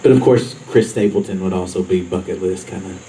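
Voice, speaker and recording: A man's voice: low voice, a little fast, dark tone. An easygoing man, answering politely and without hurry. His voice is caught from a distance on an outdoor stage, with a faint hum behind it. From East Texas. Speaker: songwriter contestant.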